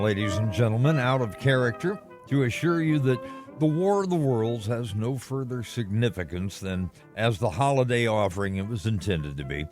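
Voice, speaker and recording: A man giving a scripted, old-time radio sign-off, addressing listeners in measured, theatrical phrases. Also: mid-range voice. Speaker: lead actor giving sign-off out of character. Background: faint music.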